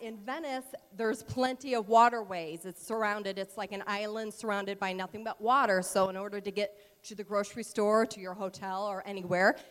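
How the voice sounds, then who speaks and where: An American woman giving an informal educational talk at a church service, chatty and rambling with many fillers and asides. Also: mid-range voice. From United States. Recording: long reverb of a large hall.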